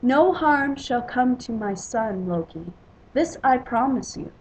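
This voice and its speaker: A woman's voice: fairly high pitch, animated, slow pace, darkish tone, low-quality recording. Regal, protective mother goddess acted by a woman. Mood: firm.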